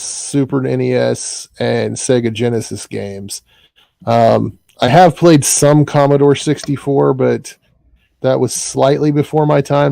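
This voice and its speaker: A man's voice: mid-range voice, gravelly, mellow, dry recording. A man with an Iowa accent, an interview guest chatting casually, with frequent restarts. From Iowa, US Midwest. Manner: halting, restarts often. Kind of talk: casual chat.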